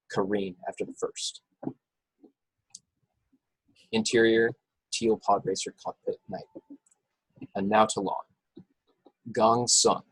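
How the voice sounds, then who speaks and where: Guy with a huskyish lowish voice narrating a fan script on a video call, casual and unpolished, pretty dry sound. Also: breathy edge, dry recording, low-quality recording. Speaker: narrator reading action descriptions at a table read. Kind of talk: scripted narration in an amateur online table read.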